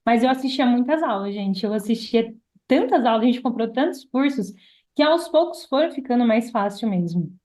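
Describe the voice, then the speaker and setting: A woman's voice: a little high, expressive, moderate pace, a little mellow. A young Brazilian woman telling her own story casually as a podcast guest. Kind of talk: casual personal storytelling in conversation. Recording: slightly dull, lo-fi podcast audio.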